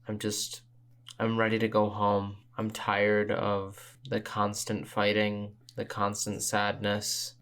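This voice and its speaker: A man's voice: on the low side, a bit bright, clear, resonant tone. A man playing a character in an improvised tabletop game, speaking in an earnest, restrained way. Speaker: player in tabletop role-playing podcast. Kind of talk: in-character improvised role-play.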